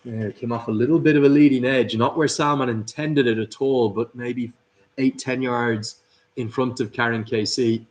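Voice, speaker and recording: A man's voice: mid-range voice, fairly even intonation, normal speed, a little mellow. A man hosting a live cricket watchalong, commentating and chatting through viewer comments. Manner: chatty, upbeat, reads out viewers' names and greetings. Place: at a cricket club.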